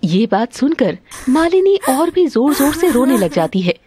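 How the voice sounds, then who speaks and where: A woman's voice: high voice, big pitch swings, unhurried, dark tone, husky. A woman narrator reading a scripted story.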